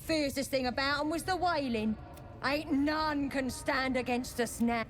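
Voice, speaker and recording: A woman voicing a boastful, coarse goblin raider in a video game, with a rough, swaggering manner. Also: high-pitched, a little slow, soft background music. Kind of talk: video game character acting.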